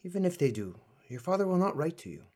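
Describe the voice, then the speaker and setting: A man acting in a radio play as a stern but protective older colonel and uncle figure, grave and deliberate. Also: fairly high pitch, very animated, mellow. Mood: grave, warning.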